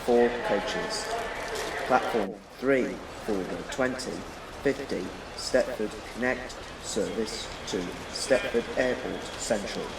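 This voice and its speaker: A man reading scripted train announcements as a railway station announcer, in measured, list-like phrasing. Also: mid-range voice, creaky. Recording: echoey, noisy, distant station PA sound.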